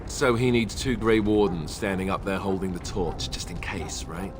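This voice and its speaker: An actor playing a wry, irreverent young warrior in a video game, with a sarcastic, informal delivery. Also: male voice, sits low, normal speed, over music, lightly acted. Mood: incredulous, sarcastic.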